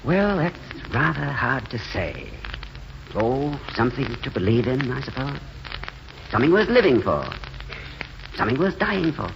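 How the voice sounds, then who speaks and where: A gentle, weary intellectual who mocks his own failures, in vintage radio drama style. His delivery is courteous and hesitant, swinging widely in pitch. The sound is muffled, with faint noise from the old broadcast. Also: sing-song, moderate pace, gravelly, pauses often. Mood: pensive. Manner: courteous, hesitant, wryly self-deprecating. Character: gentle, world-weary, self-mocking failed writer and drifter. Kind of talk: 1940s radio drama acting.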